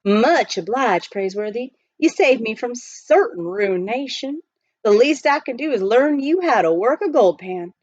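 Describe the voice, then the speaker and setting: Lady doing a friendly gold miner guy from a storybook, folksy frontier talk, pitch jumps all over, kinda muffled recording. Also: lively intonation, a little slow, a little mellow, no room sound. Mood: friendly, instructive. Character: friendly rough-hewn young gold miner, folksy and excitable. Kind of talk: children's storybook read aloud.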